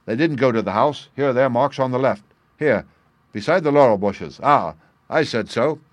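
A man voicing a sharp detective who speaks in a quick, clear, commanding way. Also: medium pitch, lively intonation, no room sound, close to an everyday voice. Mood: brisk.

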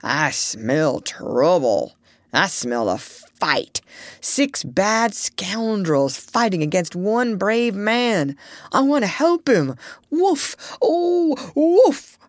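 A woman voicing a gruff male dog who growls and mumbles his words slowly. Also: mid-range voice, sing-song, no echo, clean studio sound. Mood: growling.

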